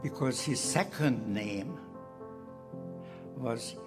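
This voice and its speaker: Slow-speaking man in his nineties. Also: medium pitch. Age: 90s. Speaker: Holocaust survivor giving testimony. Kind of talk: recorded testimony interview. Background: soft guitar music.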